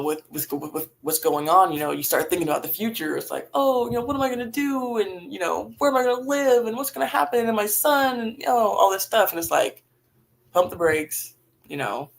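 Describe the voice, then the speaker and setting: A woman with a raspy voice talking casually and reflectively on a livestream while grieving, in halting phrases that sometimes trail off. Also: lowish voice, a little hiss or hum. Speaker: livestream host. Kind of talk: casual, reflective livestream talk about faith and grief.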